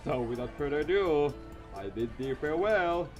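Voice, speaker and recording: A man's voice: a little high, expressive, normal speed, lightly acted. A man voicing a grandiose, theatrical teenage schoolboy show-off in a visual novel, with music behind. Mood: pompous swagger. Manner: pompous, theatrical.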